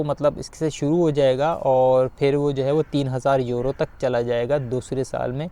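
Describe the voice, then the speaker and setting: A man giving an informal explainer to viewers, speaking haltingly with repeated filler phrases. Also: mid-range voice, warm tone, clean tone. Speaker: video guide host.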